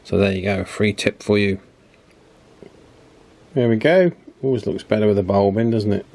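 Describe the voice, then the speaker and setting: Low-pitched man talking through a repair. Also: darkish tone. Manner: unhurried, chatty, thinking aloud while working. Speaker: radio repair video presenter. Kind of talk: casual hands-on repair demonstration. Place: indoor electronics repair bench.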